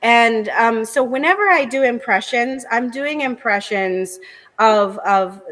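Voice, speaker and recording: An American woman comedian, a guest on a talk show, chatting in a lively, candid way with quick asides. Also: a little high, long reverb tail. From United States. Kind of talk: casual interview chat.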